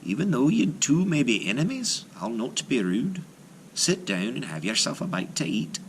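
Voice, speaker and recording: Man putting on a shrewd, high-pitched wife. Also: sing-song, normal speed, slight background noise, close to an everyday voice, low-quality recording. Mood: polite. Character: shrewd, bold giant's wife, calm and teasing.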